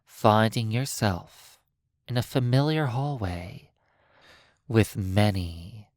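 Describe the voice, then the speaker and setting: A hypnotist guides a sleep session at an extremely slow pace. The delivery is soothing and broken by long pauses. The recording is dry, with very little room sound. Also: medium pitch, a little mellow, no room sound. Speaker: hypnotist narrator.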